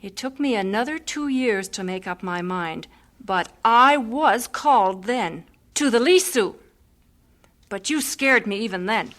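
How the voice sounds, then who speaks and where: A woman's voice: a little high, lively intonation, measured pace, fairly bright tone. An actress playing a spirited young woman missionary who speaks earnestly and frankly. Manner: earnest, outspoken.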